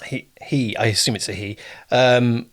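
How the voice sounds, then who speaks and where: A man's voice: mid-range voice, steady tone, a little slow. Chatty southern English man hosting. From Kent, southern England. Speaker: interview host, producer and director. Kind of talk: conversational interview.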